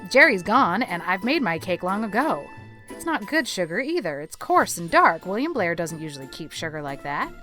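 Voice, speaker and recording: An actress playing a stern, practical older spinster who scolds sharply. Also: female voice, on the low side, sing-song, moderate pace, bright, forceful tone, faint music behind. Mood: exasperated.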